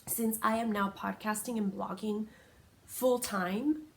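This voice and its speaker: A woman's voice: mid-range voice, fairly even intonation, slow pace, creaky, slight background noise, rough recording. A woman from Puerto Rico, a native Spanish speaker, chatting casually and a bit rambling as a crochet podcast host. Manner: chatty, rambling, self-correcting with small laughs. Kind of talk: casual solo podcast chat.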